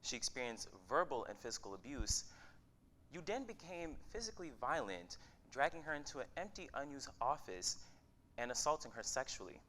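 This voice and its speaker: A man's voice: mid-range voice, sing-song, normal speed, bright, forceful tone, a little hiss or hum. A man in a staged reading playing a pushy news interviewer who asks insistent, probing questions. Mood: formal, probing.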